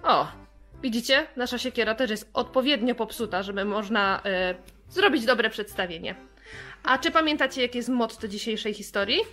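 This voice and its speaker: A woman with a fairly high voice talks to children as a programme host. There is music behind her. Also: moderate pace.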